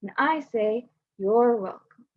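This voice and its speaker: An eager little girl, the hero's big sister, chirps through a storybook scene, as a young woman reads her part. The voice sits a touch high and breathy, carried over a dull, lo-fi video call line. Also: takes it slowly, no echo.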